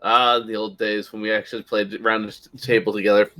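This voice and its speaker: A man's voice: lowish voice, little pitch movement, quick pace, bright, forceful tone, low-quality recording. A man, one of the players, chatting playfully and giggling over an online voice call. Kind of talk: casual out-of-game chat.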